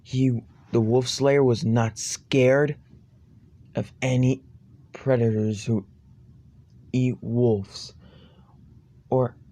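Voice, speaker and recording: A man improvising an amateur campfire horror story in a halting, ominous manner. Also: medium pitch, steady tone, warm tone. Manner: halting, ominous, stumbling over phrases.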